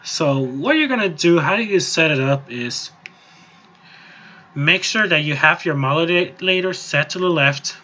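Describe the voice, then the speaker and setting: A man with a slightly high voice talks through a software tutorial in a loose, informal way. He goes step by step and rambles a little. The recording is a somewhat dull, lo-fi voice-over. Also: animated, measured pace. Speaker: music software tutorial presenter. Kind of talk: casual, improvised screen-recorded tutorial. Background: voice-over on a screen recording.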